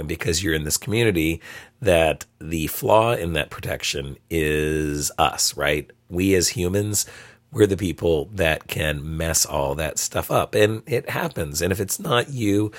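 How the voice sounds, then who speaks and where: A man hosting a solo podcast, sounding hoarse from a cold, talking casually with frequent ums. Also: sits low, no echo. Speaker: podcast host. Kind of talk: solo tech podcast talk.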